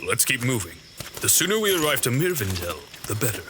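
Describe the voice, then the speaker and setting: A man's voice: medium pitch, very animated, normal speed, noisy background, natural voice. A man playing a stern, watchful lord with formal, commanding speech in a fantasy audio drama. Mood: composed. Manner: formal, commanding, archaic phrasing. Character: stern, watchful male lord guiding his companion.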